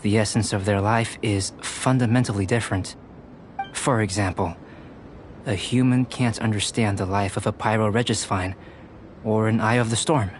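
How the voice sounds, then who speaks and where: A man voicing a calm, detached young alchemist in an anime-style video game, speaking in a measured, precise, professorial way. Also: fairly low pitch, slight background noise, lightly acted. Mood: reflective, explanatory.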